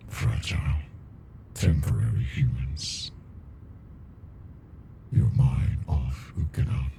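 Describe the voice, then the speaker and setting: Man voicing an abyssally deep gravelly alien monster. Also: warm tone, a little hiss or hum, heavily acted. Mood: confessional, tender. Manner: slow, brooding, deliberate, intimate. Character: captive alien monster, ancient, possessive, smitten with a human. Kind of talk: ASMR roleplay.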